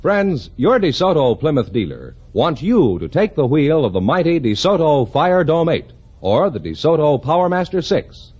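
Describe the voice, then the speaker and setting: A husky American man announces a 1950s radio commercial with an emphatic, polished pitch. His intonation is very lively, and the old broadcast sounds dull. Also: normal speed.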